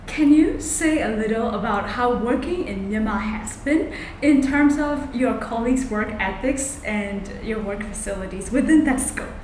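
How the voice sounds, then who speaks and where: High-voiced woman interviewer in an echoey room. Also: animated, normal speed, hardly any pauses, a little mellow. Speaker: interviewer, host. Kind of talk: scripted introduction and prepared interview questions. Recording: roomy echo with background noise.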